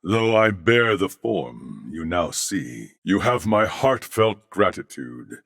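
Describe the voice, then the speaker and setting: An armored cyborg man from a video game, courteous and solemn. His low voice has a gravelly creak and moves through expressive rises and falls. Every phrase is formal and measured, with noble earnestness. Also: no room sound, studio quality, heavily acted. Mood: humbly grateful. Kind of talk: video game character voice acting.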